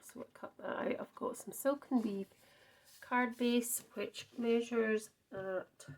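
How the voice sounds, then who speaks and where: Slow, husky Scottish woman crafting. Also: mid-range voice. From Scotland. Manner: chatty, thinks aloud, frequent ums and self-corrections. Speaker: card-making crafter. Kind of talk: casual crafting demonstration.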